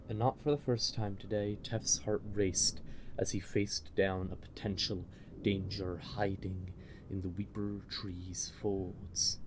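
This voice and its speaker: A man with a low voice reads a fantasy story as narrator. His delivery is unhurried and measured, with a storyteller's sense of drama. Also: background noise.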